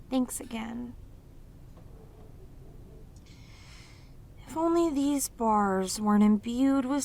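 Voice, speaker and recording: A woman voicing a lonely, clingy, sweet female demon in an ASMR audio roleplay, with an earnest, halting, pleading manner. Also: fairly high pitch, gravelly, a little mellow. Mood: yearning.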